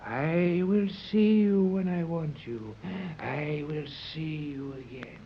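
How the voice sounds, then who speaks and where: An actor playing an imperious, mocking villain scientist who rules a secret island, in 1930s radio serial style. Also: male voice, a little high, fairly even intonation, takes it slowly. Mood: cold mockery. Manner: commanding, disdainful. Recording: dull, noisy old 1930s radio recording.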